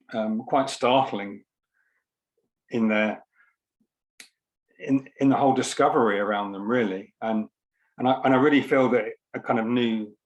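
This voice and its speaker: A man's voice: fairly low pitch, rough recording. Reflective man speaking hesitantly. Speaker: poet and scientist speaking at a book launch. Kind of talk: informal launch talk. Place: online event.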